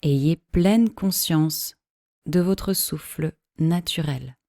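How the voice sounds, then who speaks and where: Female meditation guide, calm and measured, mellow tone, close dry sound, soothing instructional delivery. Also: sits low, no room sound. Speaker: yoga nidra teacher.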